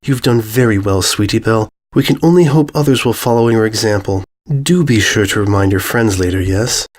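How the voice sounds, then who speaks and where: A man voicing a calm, regal female pony ruler, gracious and condescending, with unfeeling menace, in a horror story. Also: fairly low pitch, brisk, natural voice. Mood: gracious, pleased. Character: serene, regal mare ruler, politely sinister and unfeeling. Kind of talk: dramatized horror fan fiction reading.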